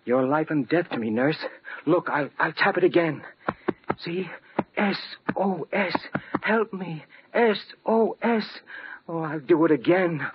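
A man's voice: a little high, lightly acted. An actor playing a bedridden man who cannot speak, pleading in his thoughts in an old radio drama. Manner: pleading, breaking into anguished outbursts. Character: mute bedridden wounded man, desperate inner voice. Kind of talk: 1940s radio drama inner monologue. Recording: muffled old radio recording with hiss.

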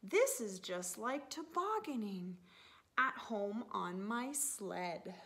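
A woman in her thirties, a teacher reading a picture book aloud to young children in an expressive, animated manner with drawn-out words. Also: mid-range voice. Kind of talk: expressive children's story time read-aloud. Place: living room, indoors on a sofa.

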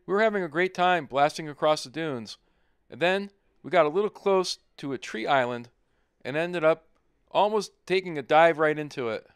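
A man's voice: on the high side, normal speed, smooth, dry recording, studio quality. A middle-aged man narrating his off-road trip in a plain-spoken, easygoing voice-over. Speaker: overlanding vlogger.

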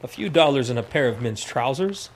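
An actor playing a proud, fussy old family servant who scolds in formal, wordy speech in a western audio drama. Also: male voice, medium pitch, expressive, fairly quick, slightly rough. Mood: stern, probing. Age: older.

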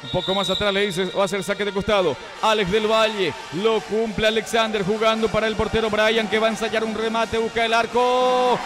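A man with a Paraguayan Spanish accent calling a youth futsal match live, with quick, excited play-by-play that climbs at scoring chances. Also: sits high. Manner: rapid, excited calls that rise near goal chances. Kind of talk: live sports commentary.

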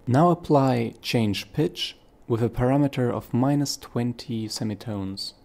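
Male tutorial narrator, gravelly edge, reading step-by-step instructions from a script. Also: medium pitch, unhurried, darkish tone.

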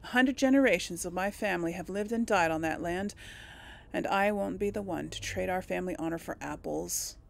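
A woman giving casual let's play commentary and reading game text aloud, with a creaky voice. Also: on the low side, fairly quick, slight background noise. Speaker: gaming host. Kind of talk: reads game text and dialogue choices aloud.

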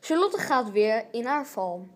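A woman's voice: fairly high pitch, very animated, measured pace, a little hiss or hum. A woman narrating an amateur radio play as a storyteller.

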